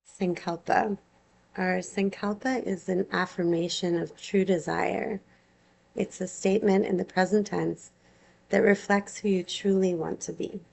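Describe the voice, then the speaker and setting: A woman teaching yoga nidra, guiding a relaxation with soothing, unhurried phrasing and long pauses. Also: medium pitch, steady tone, creaky, a little mellow, rough recording. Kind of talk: guided meditation, gentle instruction.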